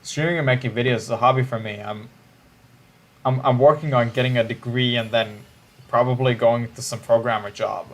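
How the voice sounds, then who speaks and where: A man's voice: mid-range voice, steady tone, fairly quick. A man, chatting casually on a livestream in a musing, hesitant way with frequent restarts. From Israel.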